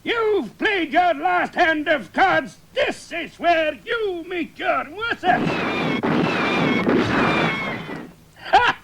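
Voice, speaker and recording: A man playing an excitable, bumbling, boastful commentator and cowboy hero in madcap radio comedy. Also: very high-pitched, takes it slowly, vocal fry, exaggerated voice, rough recording. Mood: triumphant bravado. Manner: theatrical, exclaiming, flustered.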